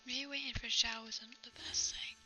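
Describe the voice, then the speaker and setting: A woman's voice: a little high, steady tone, normal speed, bright, forceful tone. A woman game streamer casually thinking aloud as she plays. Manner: thinking aloud while playing. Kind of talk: casual gaming commentary. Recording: game music and mains hum behind. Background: video game music.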